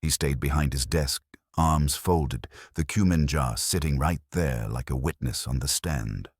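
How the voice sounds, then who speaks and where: A man's voice: a bass voice, measured pace, breathy edge, no echo, clean studio sound. A man reading a scripted first-person detective story as an audiobook narrator.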